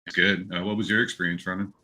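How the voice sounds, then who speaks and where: Low-voiced man with a bright edge. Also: flat delivery, quick pace. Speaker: podcast host. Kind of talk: casual chat.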